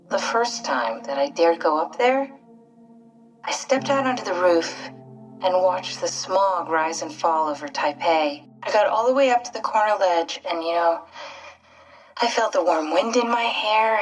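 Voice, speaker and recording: An actress playing a pragmatic, reserved woman scientist who exists as a digital consciousness, speaking in a measured, reflective, naturalistic video game style. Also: mid-range voice, far from the mic, lightly acted, rough recording. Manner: measured, reflective, matter-of-fact.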